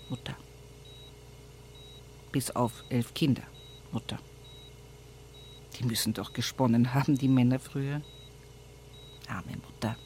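Low, unhurried older widow. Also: female voice, slight background noise. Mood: wry, sorrowful. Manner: confiding, musing, earthy, often dropping to a hushed murmur. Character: older rural Bavarian widow talking at her dying mother's bedside. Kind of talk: intimate radio play monologue. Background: music behind the voice.

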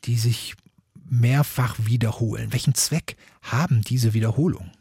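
Male culture-radio moderator, very husky and soft-toned, measured delivery, dry close sound. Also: medium pitch, dry recording. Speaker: host. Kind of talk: scripted radio interview moderation.

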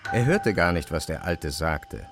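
A man narrating a literary radio drama in German in a measured, deliberate way. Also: low-pitched, animated, over music.

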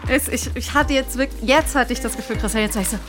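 A woman's voice: fairly high pitch, fast talker, over music. A woman chatting casually and enthusiastically as a co-writer. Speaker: radio play co-writer. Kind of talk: casual excited chat.

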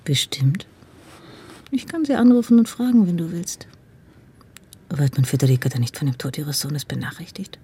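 Detective's wife in a radio drama, dark soft voice, really breathy, dry and in the know. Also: female voice, low voice, animated, normal speed, pauses often, exaggerated voice. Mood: helpful. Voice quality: husky, breathy, creaky. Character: well-informed wife of a police commissario, dryly confiding. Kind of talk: naturalistic radio drama dialogue.

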